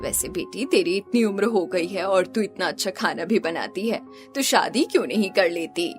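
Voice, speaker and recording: A woman acts out a middle-aged mother in a cartoon, pitched below her usual voice. She nags and frets at her family, with music behind. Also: expressive, normal speed, natural voice. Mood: coaxing.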